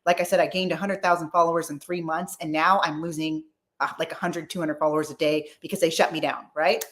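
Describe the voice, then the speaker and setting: A woman's voice: deep voice, level tone, fast talker, fairly bright tone. Emphatic millennial woman from California. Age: 30s. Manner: rambling and emphatic, with frequent restarts. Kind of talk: casual political chat.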